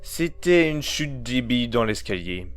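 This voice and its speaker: A man's voice: mid-range voice, sing-song, unhurried, soft background music. Man acting a dry, level-headed underworld agent. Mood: annoyed. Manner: dry, colloquial, needling. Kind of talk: French audio drama acting.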